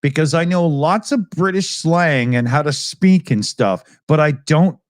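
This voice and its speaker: A man's voice: on the high side, measured pace, no room sound, clean studio sound. A Canadian man co-hosting a podcast, chatting casually, joking and swearing often. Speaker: podcast co-host. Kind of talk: casual chat.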